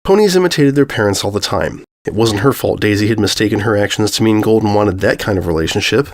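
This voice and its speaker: A man reading a scripted story aloud as an expressive audiobook narrator. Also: on the low side, fast.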